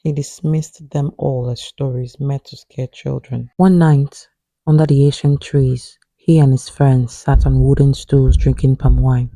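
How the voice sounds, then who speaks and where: A man tells a scary folktale in a very dark, mellow voice with a husky grain. He reads as a storyteller, grave and suspenseful, taking his time over every phrase. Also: medium pitch, flat delivery, no echo, low-quality recording. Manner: slow, grave, suspenseful delivery. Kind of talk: scripted narration of a horror folktale.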